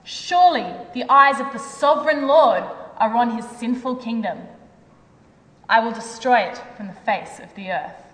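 A woman's voice: on the high side, normal speed, fairly bright tone, natural voice. A woman playing the stern, commanding voice of God in a declamatory dramatic scripture reading. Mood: stern, wrathful. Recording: echoey hall with long reverb.

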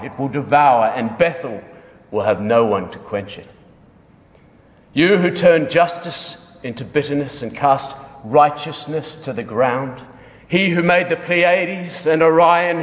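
A man's voice: fairly high pitch, lightly acted. A man performing a dramatic scripture reading as a stern, wrathful God speaking through a prophet, solemn, declamatory and emphatic. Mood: mournful, urgent. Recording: echoey hall with long reverb.

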